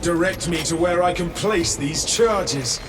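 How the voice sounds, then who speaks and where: A man's voice: on the high side, a little slow, over music, lightly acted. A man playing a grim armored super-soldier. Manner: grim, formal, terse.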